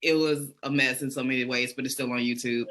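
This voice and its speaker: A woman's voice: rumbling low, fairly even intonation, fairly quick, a bit bright. A woman with a Southern American accent, a podcaster and educator telling her story as a guest in a casual online interview. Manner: enthusiastic, storytelling, self-reflective. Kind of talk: conversational interview answers.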